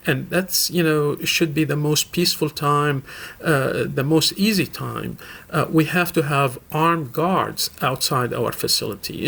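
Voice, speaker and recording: Halting gravelly Syrian-born man. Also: on the high side, a bit bright. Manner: halting, many fillers and restarts, earnest. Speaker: guest from a local Islamic center. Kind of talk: radio interview.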